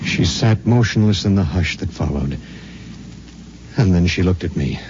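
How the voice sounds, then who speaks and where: An actor playing a grave, burdened space pilot, somber and intense, in a 1950s radio drama. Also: male voice, low voice, lively intonation, moderate pace, noisy background. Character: grave, burdened male space pilot telling his grim story. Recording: muffled 1950s radio, faint music.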